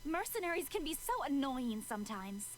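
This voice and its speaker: A woman as high-pitched earnest young swordswoman. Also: sing-song, fairly quick, slight background noise. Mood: exasperated. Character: earnest female mage knight swordswoman, honorable, easily frustrated. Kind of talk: visual novel character acting. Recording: game music behind the voice.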